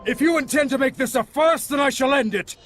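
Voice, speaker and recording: A man voicing a noble, stoic old warrior mentor, grave and deliberate, in a fantasy game. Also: medium pitch, very animated, over music. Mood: fierce challenge. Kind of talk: dramatic fantasy game voice acting. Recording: loud orchestral game score behind.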